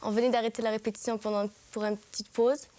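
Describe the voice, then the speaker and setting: A woman's voice: a little high, level tone, quick pace, dark tone. A teenage girl with a French accent, a student actress telling a rambling story with many self-corrections. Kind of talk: documentary interview.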